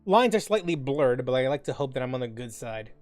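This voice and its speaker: A man's voice: mid-range voice, big pitch swings, a little fast, a little mellow, no echo, soft background music. A man streaming in a casual, rambling and informal way, reading things aloud and commenting on them. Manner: informal, rambling, mildly profane. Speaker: gaming streamer. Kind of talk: casual stream chat, reading quiz questions aloud and reacting.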